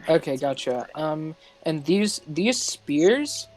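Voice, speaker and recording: A man playing a tabletop game, asking questions in a halting, casual way. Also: fairly high pitch, sing-song, darkish tone, faint music behind, poor audio. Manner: halting, inquisitive. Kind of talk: casual chat.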